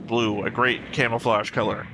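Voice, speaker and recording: A man's voice: medium pitch, flat delivery, normal speed, background noise. A man giving casual, nerdy and opinionated reaction commentary in a wry way. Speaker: reaction video host.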